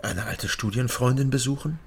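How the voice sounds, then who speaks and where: A man's voice: mid-range voice, normal speed, a little hiss or hum, natural voice. A man playing a laconic, world-weary East German with a weakness for drink and love, in a radio crime drama with understated delivery. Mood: hesitant. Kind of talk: radio crime drama, dialogue and brooding narration.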